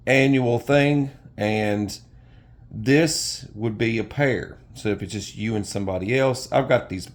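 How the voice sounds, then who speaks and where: Low-voiced man, casual product review. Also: gravelly. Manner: rambling, self-correcting. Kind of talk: informal product review, talking off the cuff.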